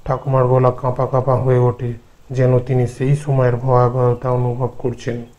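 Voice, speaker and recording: Mellow male story narrator. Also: medium pitch, monotone, normal speed, dull audio. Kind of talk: scripted horror cartoon narration.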